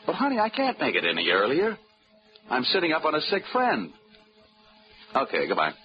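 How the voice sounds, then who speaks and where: A breezy, wisecracking investigator in a 1940s radio drama. His voice rises and falls with lively swoops. It sounds muffled and dull, like an old broadcast, with music underneath. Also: fairly high pitch, normal speed. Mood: smooth. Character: wisecracking insurance investigator man. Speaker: insurance investigator.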